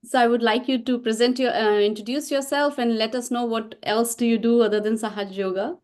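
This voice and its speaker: A woman's voice: fairly high pitch, fairly even intonation, a little fast, darkish tone. A middle-aged woman hosting an online meditation session over a video call, speaking with many fillers and restarts. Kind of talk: welcoming host talk.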